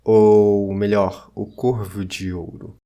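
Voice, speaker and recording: A man's voice: lowish voice, flat delivery, takes it slowly, warm tone. A man playing an ancient Chinese court officer, a regretful guardian, in a formal scripted testimony. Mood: solemn. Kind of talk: scripted first-person testimony narration.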